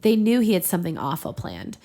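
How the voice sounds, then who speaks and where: A woman podcast host reading fiction aloud in a casual, comedic and expressive way, with playful asides. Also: fairly low pitch, a little fast.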